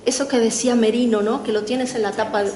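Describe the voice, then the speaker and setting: A middle-aged woman with an Argentine accent speaks as a panel guest writer, thoughtful, pausing with fillers and tag questions. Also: on the high side, fairly even intonation, warm tone. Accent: Argentine Spanish. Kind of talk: reflective talk at a literary panel. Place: lecture hall. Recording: echoey room with faint noise.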